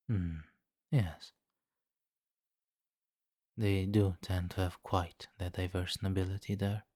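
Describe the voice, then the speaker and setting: A man playing a suave, commanding, centuries-old vampire king in an ASMR fantasy roleplay, speaking formally and deliberately with quiet menace. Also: sits low, dry recording, clean studio sound. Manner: formal, deliberate, softly imperious.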